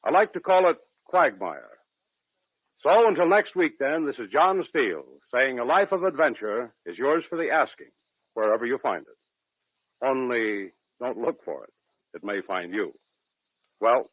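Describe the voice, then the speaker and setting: A man's voice: mid-range voice, sing-song, unhurried, dry recording. A man playing a genial adventurer who hosts and narrates suspense tales on old-time radio. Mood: knowing, inviting. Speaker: host and narrator. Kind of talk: 1940s radio drama narration. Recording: dull old radio recording with music.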